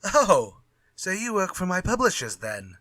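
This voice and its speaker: An actor playing a glib, unafraid writer in a retro radio drama, with flippant, old-fashioned phrasing. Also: male voice, high-pitched, wildly swooping pitch, unhurried, bright, forceful tone, no room sound, full character voice. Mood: curious, eager. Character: glib, unafraid male writer sparring with his villain.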